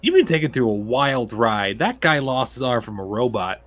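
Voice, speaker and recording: A man playing in a tabletop roleplaying game, chatting in an animated, self-interrupting way. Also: medium pitch, normal speed, faint music behind. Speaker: player. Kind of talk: casual roleplay chat.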